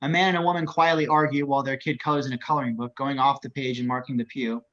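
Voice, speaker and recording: A man's voice: mid-range voice, level tone, fast, a bit bright, muffled recording. A man narrating screenplay action descriptions as scripted narration. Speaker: narrator of stage directions.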